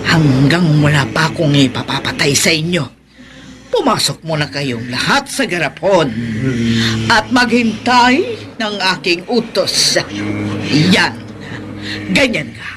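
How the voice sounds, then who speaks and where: A woman's voice: low-pitched, animated, music in the background. A woman playing a vengeful wicked woman who sends her swarm of bees to kill, in a radio horror drama. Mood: softly commanding. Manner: gloating, slow and menacing, cackling. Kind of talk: old-style radio horror acting.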